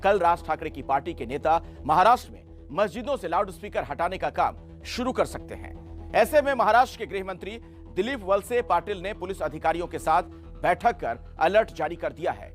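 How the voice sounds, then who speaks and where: Male news presenter, high-pitched, scripted delivery, faint music behind. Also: animated, a little fast.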